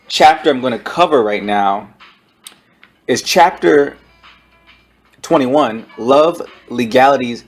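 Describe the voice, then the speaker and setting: A man giving a casual, slangy book review as an online video host, often halting as he searches for words. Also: medium pitch, slightly rough.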